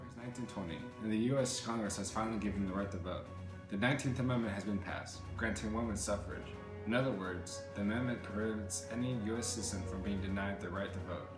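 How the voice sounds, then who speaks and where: A man with a lowish voice anchors an amateur mock news broadcast from script. He stumbles slightly as he reads. The room echoes and music plays clearly underneath. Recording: roomy echo, music underneath.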